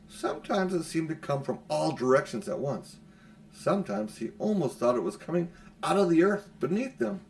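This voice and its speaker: Male storybook narrator, middle-aged, moderately high register, reading at home. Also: creaky, darkish tone. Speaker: audiobook reader. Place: small furnished room at home, indoors.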